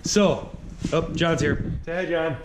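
A man's voice: fairly high pitch, steady tone, slow, background noise. A man in his thirties hosting a casual workshop vlog, talking upbeat while he works in a large garage shop, picked up by an action camera. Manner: chatty, upbeat, talks while working. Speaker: woodworking channel host. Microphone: action camera on body or nearby. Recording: echoey garage with music behind.

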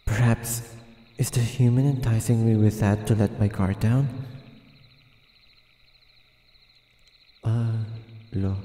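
Man voicing a dark-toned, gravelly, proud fox spirit. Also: medium pitch. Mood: curious, suspicious. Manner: hesitant, halting, muttering to himself. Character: proud, flustered, wary male nine-tailed fox spirit. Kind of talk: roleplay audio drama, ASMR.

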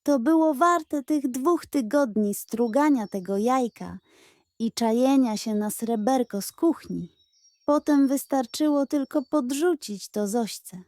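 Woman as lazy tomcat, storybook trickster, smug, calm, self-satisfied, lifted pitch. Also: slow, no echo, studio quality.

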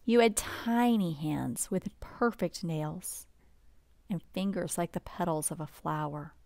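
A woman reading a bedtime picture book aloud in a gentle, expressive, unhurried storybook manner. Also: fairly low pitch, vocal fry, dry recording.

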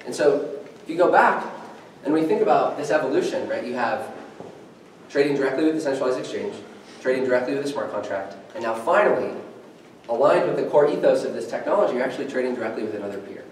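A young man giving an energetic, conversational presentation at a meetup, quizzing the audience as he goes. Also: mid-range voice, quick pace, slight background noise. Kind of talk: conference presentation. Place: meeting room with a screen and podium. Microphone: far camera, no mic. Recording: echoey room from far off.

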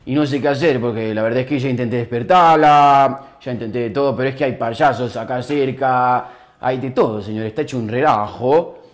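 A man's voice: medium pitch, a little fast. A man in his twenties with a Mexican accent, bantering playfully as the host of phone pranks. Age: 20s. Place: small indoor studio room.

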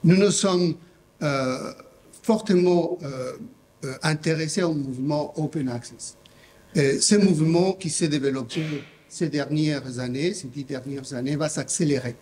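A man's voice: fairly high pitch, unhurried, darkish tone, a little hiss or hum. A middle-aged man from Mexico presenting his publishing house at a conference in a large hall, speaking unscripted with many fillers and restarts into a desk mic. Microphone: desk microphone.

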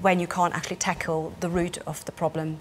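A woman in her thirties from Switzerland, speaking with a Swiss German accent, answering interview questions as a parliamentary candidate in an animated way, recorded in a small studio. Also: on the low side, fairly quick, a little hiss or hum. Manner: animated, gestures while talking, many fillers. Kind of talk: political interview.

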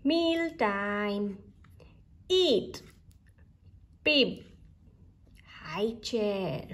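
A woman presenting a read-aloud for toddlers, saying single words and short phrases deliberately, with pauses between them. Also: high voice, a bit bright.

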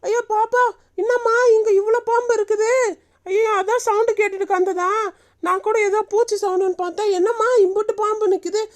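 A woman voicing a folksy, fussing elderly village grandmother in an animated story. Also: high-pitched, moderate pace, natural voice. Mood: panicked. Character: concerned elderly village grandmother, easily alarmed.

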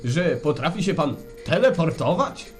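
A man's voice: on the high side, sing-song, normal speed, over loud music. A man playing a mock-serious, wordy and theatrically polite phone-in host in a parody radio play. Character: glib, mock-solemn male radio phone-in host, secretly fed up.

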